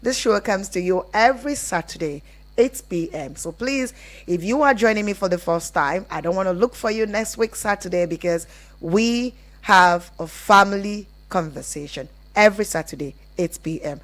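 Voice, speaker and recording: A woman's voice: medium pitch, moderate pace. A woman with a Nigerian accent presenting a live radio call-in show in a conversational, emphatic way. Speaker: radio relationship show host.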